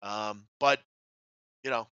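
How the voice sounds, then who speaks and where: A man with a bright, forceful voice hosts casual sports betting talk. He is blunt and happy to swear, often breaking off into asides. The sound is very dry and slightly dull. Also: lowish voice, slow pace, clear, resonant tone, dry recording. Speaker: sports betting show host.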